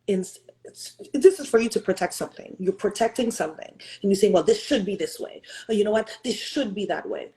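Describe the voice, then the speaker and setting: Earnest woman with a mellow voice. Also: mid-range voice, expressive, a little fast, poor audio. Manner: earnest, emphatic, asks the audience rhetorical questions; switches into French and Haitian Creole mid-talk. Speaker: host. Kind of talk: motivational talk.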